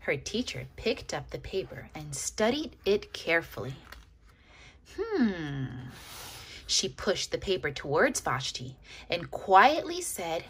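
A woman reading a children's picture book aloud expressively, with dramatic pauses, as if to young listeners. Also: fairly low pitch, sing-song, a bit bright, slight background noise.

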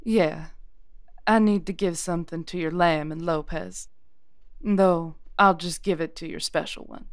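Actress doing a calm witch lady for an audio drama, lowish voice, dry close sound, earnest and measured. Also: no room sound, lightly acted. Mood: gentle, knowing. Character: calm, perceptive female witch ally granting blessings and charms. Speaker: voice actor.

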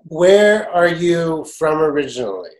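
A man's voice: on the high side, sing-song, measured pace, echoey room. A middle-aged man learning Chinese on a video call from his home study, asking short, curious questions. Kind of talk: casual language lesson on a video call. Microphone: video call, webcam mic.

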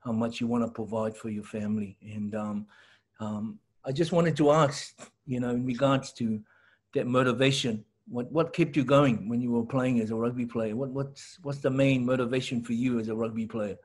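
A middle-aged man hosting an interview over a video call from home, encouraging and quick to affirm his guest. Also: mid-range voice, fairly even intonation, a little mellow, breathy edge. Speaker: host. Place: home room. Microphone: laptop webcam video call.

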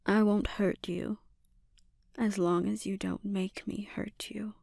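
A woman playing a menacing, seductive sorceress and obsessive mother in a breathy, often whispered ASMR roleplay, speaking deliberately and with quiet threat. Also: mid-range voice, little pitch movement, dry recording, studio quality. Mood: coolly threatening.

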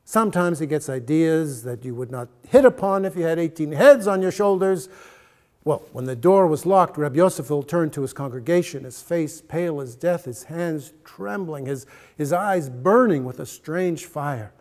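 Animated older man reading a story, high-pitched. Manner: emphatic, dramatic pauses and repetitions. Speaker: actor reading a short story aloud. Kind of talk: theatrical story reading, dramatic. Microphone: lapel mic.

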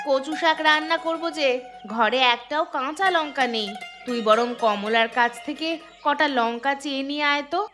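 A woman's voice: sits high, normal speed, soft background music. A woman voicing a careworn poor village mother with an earthy, nagging manner in a fairy-tale cartoon. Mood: brisk. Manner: nagging, earthy, sharp-tongued.

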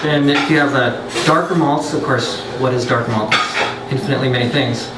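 A man's voice: mid-range voice, moderate pace, far from the mic, very noisy. A man, a brewer, giving a chatty science talk to a pub audience, with joking asides and frequent restarts. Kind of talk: casual lecture to a pub audience. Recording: roomy, off-mic, loud background.

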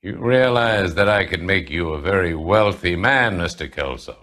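Forceful, menacing American tycoon. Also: male voice, deep voice, animated, normal speed. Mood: cool, sly. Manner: commanding, curt, sardonic. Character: powerful middle-aged crooked tycoon, menacing and calculating. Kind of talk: dramatic video game acting.

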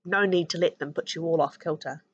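A woman with a New Zealand accent voicing a calm mother in a storybook, soothing and explaining in rhyme. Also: low voice, a little fast, husky, highs cut off, pitched below the performer's own voice. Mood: reassuring. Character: calm, reassuring mother explaining sea life.

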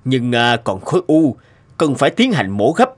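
A man voicing a grave middle-aged doctor in a cartoon drama, with a solemn, measured manner. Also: mid-range voice, big pitch swings. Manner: slow, solemn. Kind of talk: animated drama voice acting.

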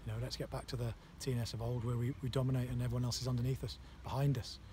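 Man, 30s, football manager, flat delivery, halting speech, outdoor noise behind. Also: medium pitch. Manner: halting, many fillers and restarts. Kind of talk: post-match interview. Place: outdoors on a floodlit football pitch at night.

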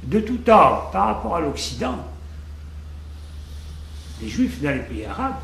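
A man's voice: sits high, very animated, a little slow, vocal fry, fairly bright tone. An older man from Algeria, interviewed in a conversational way, reflective, repeating words as he searches for them. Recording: echoey room, faint background noise.

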